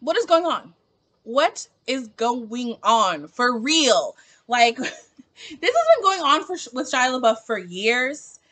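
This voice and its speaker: A woman in her late twenties talks straight to camera in an opinion vlog. Her voice is bright and a bit high, and it leaps up and down in pitch. She is emphatic and peppers everything with "like.". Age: 20s. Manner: animated, emphatic, heavy use of "like". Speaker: book vlogger.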